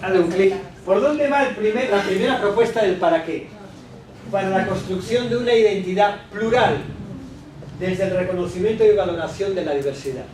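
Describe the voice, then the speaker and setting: A man lectures to teachers in an animated way, throwing rhetorical questions out to the room. His voice sits high. He is recorded from a distance in a very echoey hall with clear noise behind him. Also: moderate pace. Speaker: education speaker at a teachers' workshop. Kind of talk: lecture presentation.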